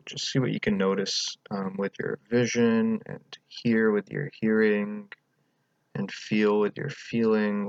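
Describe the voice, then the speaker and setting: A man leading a guided meditation as the teacher, speaking in a calm, gentle, unhurried way with pauses between instructions. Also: lowish voice, no room sound, lo-fi sound.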